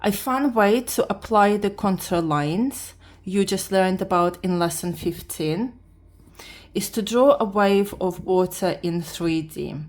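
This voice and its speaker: A woman from Latvia whose first language is Russian, speaking with a Russian accent and just woken up. She talks her way through a drawing lesson in a halting manner, restarting often and reading the instructions aloud. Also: medium pitch. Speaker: beginner art vlogger. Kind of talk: casual drawing tutorial.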